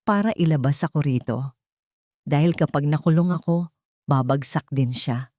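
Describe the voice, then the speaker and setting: A woman's voice: deep voice, moderate pace, warm tone, no echo, clean studio sound, close to an everyday voice. Woman playing a venomous scheming rich wife. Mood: smug, scheming. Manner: taunting, threatening, defiant. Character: venomous, greedy, scheming wealthy wife and mother. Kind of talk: Tagalog audio drama acting.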